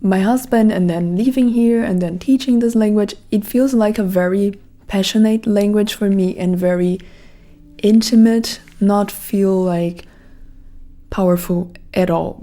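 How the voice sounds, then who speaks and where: A woman's voice: mid-range voice, fairly even intonation, moderate pace, mellow. A woman in her thirties with a Brazilian Portuguese accent, hosting a podcast as a casual, reflective monologue full of "like" fillers. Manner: reflective, rambling with many "like" fillers. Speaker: podcast host.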